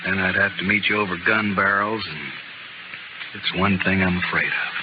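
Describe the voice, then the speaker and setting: An actor playing a deadly, world-weary Old West gunfighter gentleman in a 1950s radio drama. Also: deep voice, fairly even intonation, moderate pace, close to an everyday voice. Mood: resigned, wry. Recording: dull, noisy old radio recording.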